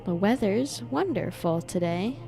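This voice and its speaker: A woman's voice: mid-range voice, expressive, a little slow, gravelly, mellow, faint music behind. A giggly, playful woman livestreamer casually reacting to a video game as she plays. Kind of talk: casual reactions and commentary to gameplay.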